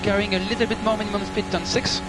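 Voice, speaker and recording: A man's voice: high voice, little pitch movement, fast talker, over loud music. A man working as a race engineer, giving clipped, procedural instructions and updates over team radio. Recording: very noisy car team radio transmission. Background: loud car noise.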